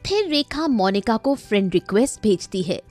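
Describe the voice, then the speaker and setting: A woman's voice: medium pitch, sing-song, moderate pace, darkish tone. A woman narrating a scripted story in a dramatic storytelling style. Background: faint music.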